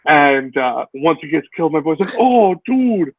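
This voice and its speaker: A man co-hosting a casual gaming podcast, talking in an animated way full of "like" and restarts. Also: fairly high pitch, normal speed, dry recording. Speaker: podcast co-host. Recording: dry, tinny phone-like sound.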